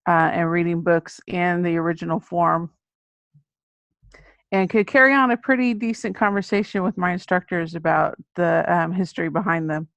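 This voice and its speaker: A woman's voice: on the low side, moderate pace, a bit bright, dry recording. A middle-aged American woman, a children's book author, talking about her writing as an interview guest. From Texas, United States.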